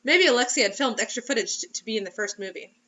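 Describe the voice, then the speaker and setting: A woman's voice: fairly high pitch, lively intonation, quick pace, pushed and bright, lo-fi sound. A woman reading a story aloud as host in a playful, theatrical, mocking way, breaking into casual comic asides. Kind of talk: comedic dramatic reading with casual commentary.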